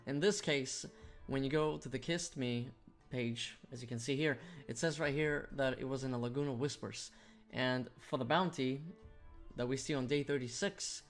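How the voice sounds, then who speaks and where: A man giving a casual, step-by-step video game walkthrough as a guide host. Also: mid-range voice. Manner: explanatory, step-by-step. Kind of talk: walkthrough commentary, casual instructional. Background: music.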